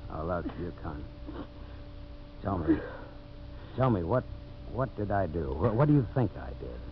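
A man acting a rough, hard-driving logging boss in a 1950s radio drama, speaking bluntly with repeated phrases. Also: low-pitched, big pitch swings, a little slow. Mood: pleading. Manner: blunt, forceful, repeats himself. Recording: muffled, noisy 1950s radio recording.

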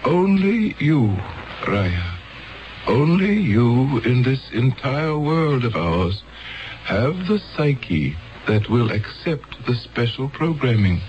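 An actor playing a grave, fatherly elder of a peaceful future world in solemn, deliberate 1970s radio drama style. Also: mid-range voice, sing-song, darkish tone, slight background noise. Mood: urgent, insistent. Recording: dull 1970s radio broadcast recording.

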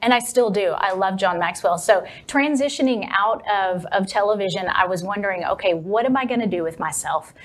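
A woman from Texas, a confidence coach, speaking as an upbeat, animated interview guest. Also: medium pitch, fairly quick, few pauses. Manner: upbeat, animated, laughs easily. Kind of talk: interview conversation.

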